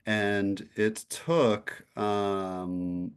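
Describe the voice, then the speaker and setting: A man answering as a guest, thoughtful and soft-spoken, searching for his words as he reflects. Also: on the low side, level tone, extremely slow, clear, resonant tone. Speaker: guest speaker. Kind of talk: reflective discussion.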